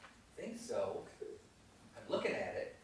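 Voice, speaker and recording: A man's voice: slow pace, slight background noise, lightly acted. An actor playing a sensible, skeptical male colleague of a film director, with a dry, questioning delivery in dramatic dialogue. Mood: wry, placating. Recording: very echoey room, distant microphone.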